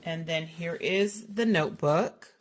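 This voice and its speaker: A woman's voice: on the low side, slow pace, slight background noise. A woman with a slight cold and a sniffle, chatting through a shopping haul and correcting herself as she goes. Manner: chatty, self-correcting, detailed. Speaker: haul vlogger. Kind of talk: casual product haul walkthrough.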